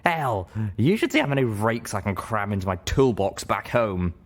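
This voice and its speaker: A man's voice: fairly low pitch, big pitch swings. A man voicing a boozy, caring female pony with slurred speech in an audiobook story. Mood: boastful, slurred. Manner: slow, slurred.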